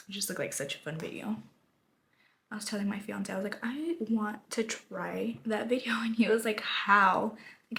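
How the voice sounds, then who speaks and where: Chatty woman with a raspy lost voice. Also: medium pitch. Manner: chatty, rambling, laughs often, says y'all. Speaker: beauty vlogger. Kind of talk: casual get-ready-with-me chat. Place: at home doing makeup.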